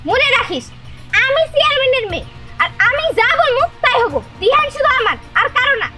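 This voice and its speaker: A woman's voice: very high voice, very animated, a little fast, over loud music. A woman voicing a spoiled, jealous and threatening chairman's daughter in a cartoon drama.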